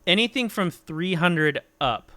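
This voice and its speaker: Bright-voiced man rambling about gear. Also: sits high, animated, a little slow. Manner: rambling, swears casually, explains at length. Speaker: streamer talking gear. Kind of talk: casual chat.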